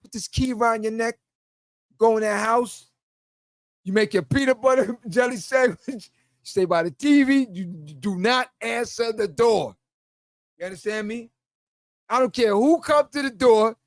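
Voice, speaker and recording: A man's voice: very high voice, moderate pace, no echo. New York man telling stories, dry sound. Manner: animated, laughs while telling stories; acts out quoted voices. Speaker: podcast host. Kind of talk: casual storytelling.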